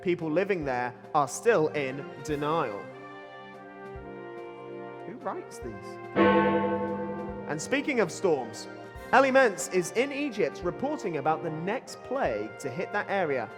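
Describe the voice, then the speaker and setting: A man's voice: on the high side, very animated, takes it slowly, pauses often. A man playing a cheesy news anchor, presenting a scripted mock newsreel in an announcerly, punning style. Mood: announcing, jokey. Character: cheesy male newsreader anchor delivering dad-joke bulletins. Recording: long hall reverb, loud music bed.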